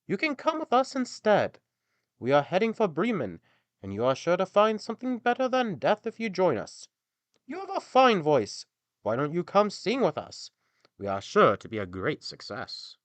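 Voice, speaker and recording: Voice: medium pitch, wildly swooping pitch, normal speed, no room sound, voice pushed up. A man voicing a friendly, encouraging old donkey in a fairy tale, with a hearty, persuasive manner.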